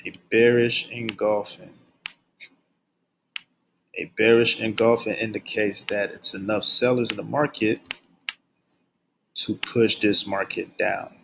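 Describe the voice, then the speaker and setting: A young man teaching forex basics, keeping his voice low and subdued, and repeating key phrases over and over as he drills them in. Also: level tone, takes it slowly, gravelly, pauses often, fairly bright tone, a little hiss or hum, low-quality recording. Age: young adult. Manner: speaks low and subdued on purpose. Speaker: forex trading instructor. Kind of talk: screen-share trading lesson. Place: at his workplace.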